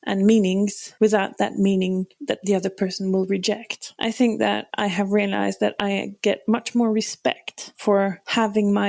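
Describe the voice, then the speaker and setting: A woman from Norway, a non-native English speaker, talking reflectively as a podcast guest, often pausing and restarting her sentences. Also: medium pitch, gravelly, darkish tone, no echo. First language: Norwegian. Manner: thoughtful, hesitant, restarts sentences. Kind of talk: reflective interview talk.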